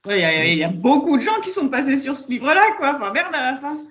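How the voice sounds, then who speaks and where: A woman co-hosting a podcast in casual chat, blunt and quick to swear. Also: high voice, sing-song, fairly bright tone. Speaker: podcast co-host.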